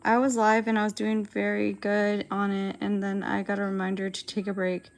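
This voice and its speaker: A young woman with a raspy, dry-throated voice, chatting casually and rambling with lots of fillers as she draws. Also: mid-range voice, completely flat delivery, moderate pace. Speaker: digital artist streamer. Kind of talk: casual chat while drawing.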